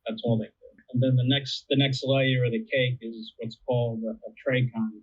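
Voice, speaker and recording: Guy on a phone-ish line explaining stuff in an interview, casual, normal pitch. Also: level tone, normal speed, breathy edge. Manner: conversational, explains with everyday analogies. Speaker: interviewee explaining air traffic control. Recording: tinny narrowband phone-like line.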